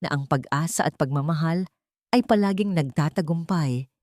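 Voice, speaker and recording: A woman's voice: sits low, moderate pace, darkish tone, dry recording, clean studio sound. A woman reading a scripted love story as an audiobook narrator.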